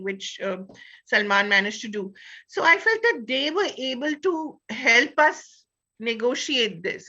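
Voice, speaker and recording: A woman with an Indian accent discusses ideas as a panel guest. Her voice is sharp and bright, and she leans on key words while searching for phrasing. The audio is slightly dull and lo-fi. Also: mid-range voice. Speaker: panelist and author.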